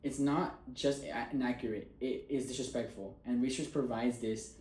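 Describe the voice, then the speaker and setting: Man, student speaker, persuasive speech, earnest and emphatic, mellow voice, echoey room. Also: mid-range voice, fairly even intonation, moderate pace, a little hiss or hum.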